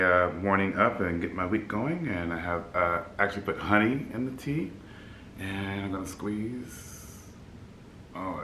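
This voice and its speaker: Fifties guy w a low baritone, a little scratchy today, chatty and upbeat, roomy echo in his apartment. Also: fairly bright tone. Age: 50s. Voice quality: deep baritone, slightly scratchy. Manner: upbeat, chatty, laughs easily. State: scratchy throat. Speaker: flight attendant vlogger. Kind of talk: casual vlog chat. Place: apartment room by a city window.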